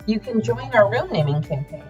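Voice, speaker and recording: A woman reading a scripted charity announcement, listing items briskly. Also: medium pitch, animated, darkish tone. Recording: dull audio with faint music.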